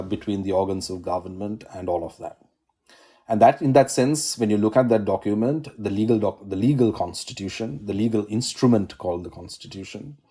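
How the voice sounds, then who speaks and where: Low mellow guy, law academic from sri lanka, 40s, lots of ums and restarts, video call. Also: slightly rough. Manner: measured, academic, many "um"s and restarts. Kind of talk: conversational interview answers.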